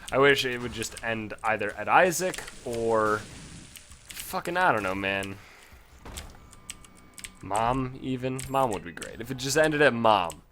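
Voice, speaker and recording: A man casually commentating his own gameplay, swearing freely and bursting into frustrated yells. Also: on the low side, slight background noise. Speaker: gaming commentator. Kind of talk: casual let's play commentary.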